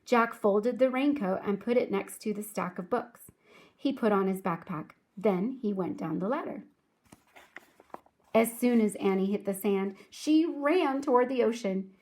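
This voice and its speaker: A woman reading aloud to children like a teacher, speaking clearly and deliberately in a storybook narration style. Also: mid-range voice, a little mellow. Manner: clear, deliberate, addresses young listeners.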